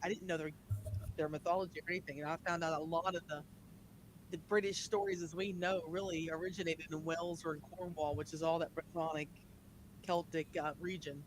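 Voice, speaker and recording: A man with a noticeably high voice and a West Virginia accent, talking casually with friends on a video call. He rambles and restarts his sentences. His speech sounds natural and unscripted. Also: level tone, a little fast, slight background noise, low-quality recording. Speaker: panelist. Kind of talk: casual group chat.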